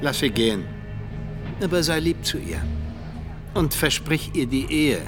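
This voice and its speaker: An actor playing a cold, philosophical Soviet spy handler in a radio drama, deliberate and dryly menacing. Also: male voice, a little high, big pitch swings, music in the background. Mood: coldly instructive.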